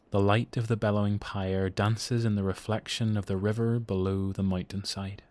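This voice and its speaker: A man with a Northern Irish accent reading scripted narration for an audio drama. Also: fairly low pitch.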